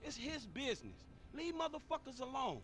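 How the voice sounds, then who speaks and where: A man's voice: very high voice, very animated, moderate pace, a bit bright, heavily acted. An actor playing an irritated, profane young male street hustler in a video game with music behind. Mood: annoyed. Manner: blunt and profane.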